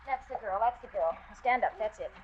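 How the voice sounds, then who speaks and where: A woman's voice: mid-range voice, brisk, close to an everyday voice. An American actress playing a scheming, domineering, edgy wife and mother with an urgent, insistent way of talking in a 1940s-style radio suspense drama. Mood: coaxing. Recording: muffled, noisy old radio recording.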